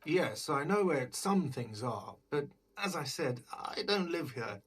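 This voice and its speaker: A man's voice: a little high, very animated, measured pace, slightly rough, exaggerated voice. An actor playing an irritable, defensive cafeteria manager in a video game, exasperated and sarcastic, leaning hard on his words.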